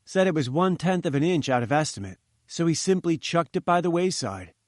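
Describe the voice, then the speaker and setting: A man's voice: on the high side, animated, a little fast, no room sound, natural voice. Man voicing breezy young journalist, dry close sound. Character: brisk young male journalist.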